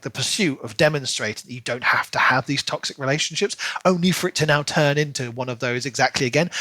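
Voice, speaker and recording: A man with a bright, forward voice in a dry, close recording. He talks casually as a podcast co-host, picking things apart analytically and giving his opinions. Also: medium pitch, no echo. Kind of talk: casual book discussion chat.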